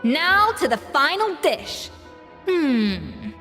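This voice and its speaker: A proud young female chef from a video game, exacting and crisply critical. Her pitch is high and lively, set in long reverb with music behind. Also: very slow, heavily acted. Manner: crisp, critical, theatrically precise.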